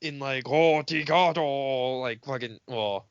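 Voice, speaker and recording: A man's voice: medium pitch, takes it slowly, smooth, no room sound, muffled recording. A man co-hosting a podcast in casual film banter, sarcastic and profane, mockingly acting out lines. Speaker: podcast co-host.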